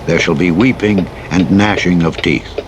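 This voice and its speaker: Low-voiced man reading scripture over engine noise. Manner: measured, formal, dramatic delivery of archaic English. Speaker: Bible narrator. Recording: played over clearly audible vehicle engine noise. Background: truck engine and road noise from a driving game.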